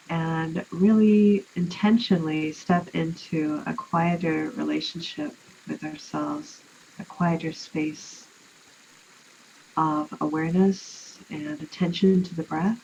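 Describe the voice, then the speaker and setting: A woman leading a guided relaxation meditation in an unhurried, soothing way, leaving long pauses. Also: low-pitched, fairly even intonation, dark tone. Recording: muffled, with clear background noise.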